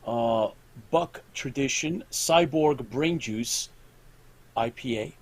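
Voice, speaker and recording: Guy cohosting a live show, bit of gravel in his voice, halting, lots of yeahs. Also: on the high side, dry recording. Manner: relaxed, halting, interjects short agreements. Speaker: co-host. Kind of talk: casual chat on a live show.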